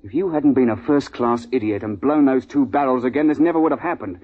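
An actor playing a stern British big-game hunting guide in a 1950s radio drama, speaking in a clipped, understated, old-fashioned way. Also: male voice, medium pitch, brisk, natural voice. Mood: furious contempt. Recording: muffled, noisy 1950s radio recording.

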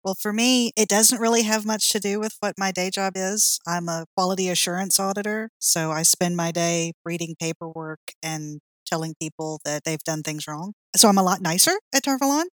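A woman's voice: fairly low pitch, moderate pace, few pauses, no room sound. A woman guest on a casual podcast, talking with dry, self-deprecating humor. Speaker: guest, site leader.

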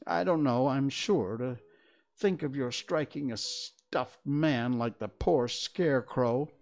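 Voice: mid-range voice, slow, darkish tone, faint music behind. A reader voicing a brave young girl in a storybook reading, speaking with indignant, scolding force. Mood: earnest.

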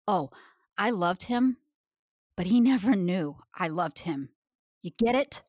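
A woman's voice: mid-range voice, lively intonation, takes it slowly, darkish tone, no room sound, studio quality. A woman playing a tough, blunt, regretful woman of the space underworld, confrontational, in a sci-fi audio drama. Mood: hard, regretful.

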